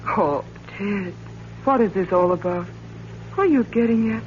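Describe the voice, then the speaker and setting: An actress playing a wife who can be teasing, evasive or pleading, in 1940s radio thriller style. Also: female voice, medium pitch, unhurried, a little mellow, natural voice. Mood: evasive, indignant. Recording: dull old radio recording with hum.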